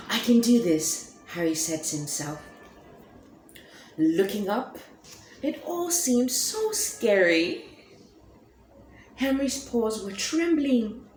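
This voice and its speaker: A woman reading a children's picture book aloud in an expressive, animated way. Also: fairly high pitch, echoey room, slight background noise, low-quality recording. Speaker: storybook reader.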